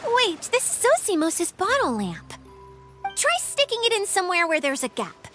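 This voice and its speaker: A woman's voice: very high voice, wildly swooping pitch, moderate pace, a bit bright, faint music behind, exaggerated voice. A woman voicing a perky, easygoing young girl guide in an anime game, with a chirpy, breezy manner. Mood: explaining.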